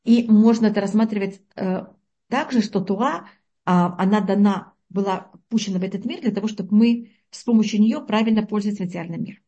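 A woman from Uzbekistan teaching a religious lesson, explaining slowly, searching for words and asking her listeners questions. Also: mid-range voice, warm tone, highs cut off.

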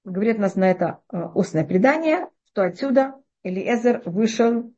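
A middle-aged woman teaching a religious text class, explaining with lively rhetorical questions and frequent restarts. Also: a little high, moderate pace, lo-fi sound. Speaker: Torah teacher.

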